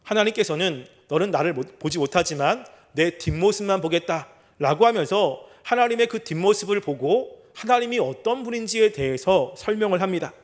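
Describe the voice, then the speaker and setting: A middle-aged male pastor giving a sermon. He asks rhetorical questions and reads scripture slowly and deliberately. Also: high-pitched, lively intonation. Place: church sanctuary.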